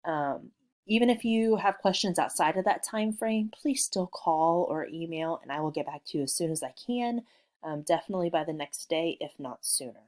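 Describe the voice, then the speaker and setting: A woman from Missouri teaching a recorded remote lesson from home, talking in a friendly, relaxed way with frequent ums. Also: on the low side, normal speed. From Missouri, USA. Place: working from home.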